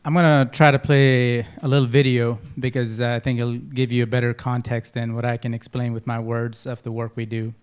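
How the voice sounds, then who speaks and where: A man's voice: mid-range voice, flat delivery, a little fast, few pauses, clean tone. A man from Nepal giving an informal presentation for a non-profit, hesitating and repeating words as he goes. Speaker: non-profit representative pitching.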